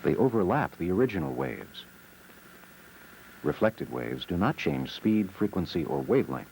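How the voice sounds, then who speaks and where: A man narrating an educational film in a measured, explanatory manner, reading scripted short, simple sentences. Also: low voice, big pitch swings, gravelly, a little mellow, a little hiss or hum, low-quality recording. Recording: old VHS educational film soundtrack. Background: music.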